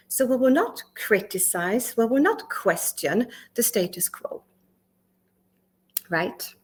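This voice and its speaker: A middle-aged woman from Sweden gives a prepared conference talk in English. She speaks with emphasis, asks the audience questions, lists words one at a time with pauses, and makes small grammar slips and restarts. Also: a little high. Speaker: conference speaker and workplace consultant.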